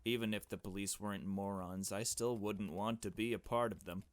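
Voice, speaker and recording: A man's voice: lowish voice, steady tone, moderate pace, no room sound, close to an everyday voice. A man voicing a blunt, secretive girl detective in a visual novel game, curt and dismissive. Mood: irritated, dismissive. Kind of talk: visual novel dialogue read aloud.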